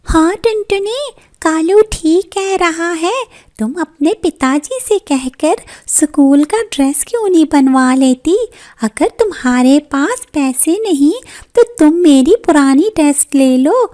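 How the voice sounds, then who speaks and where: A snooty young girl bird from a cartoon moral tale, voiced by a woman. She speaks high and slowly, looking down on her poorer classmate. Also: lively intonation, dark tone. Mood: condescending. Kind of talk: Hindi cartoon moral story acting.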